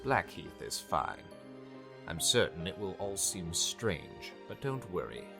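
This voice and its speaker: A man playing a grave, formal high cleric and mentor in a dark fantasy visual novel, with measured delivery. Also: very animated, creaky, exaggerated voice. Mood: reassuring, solemn. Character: male vampire high cleric, grave mentor, deferential servant. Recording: music behind the voice.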